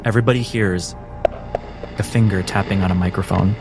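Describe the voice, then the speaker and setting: A man acting as game master, narrating a cinematic tabletop story in a measured, descriptive, instructive manner. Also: deep voice, steady tone, loud music behind the voice.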